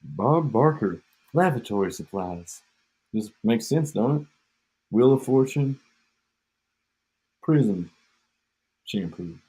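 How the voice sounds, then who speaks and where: A man's voice: on the low side, expressive, takes it slowly, pauses often, warm tone, husky. A man co-hosting a podcast, rambling and joking in casual chat, drifting off mid-thought, with an echo on his home mic. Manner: joking, meandering, trails off. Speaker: podcast co-host.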